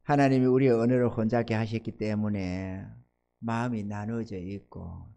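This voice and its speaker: A man in his sixties preaching a Sunday sermon as pastor, swinging between loud emphatic exhortation and soft asides, and asking the congregation for amens. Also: on the low side, fairly even intonation, moderate pace, vocal fry. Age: 60s.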